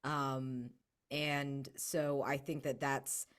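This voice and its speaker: A woman's voice: very deep voice, little pitch movement, drawn-out words, clear, resonant tone. A woman brainstorming casually as a player in a tabletop roleplaying game, informal and enthusiastic.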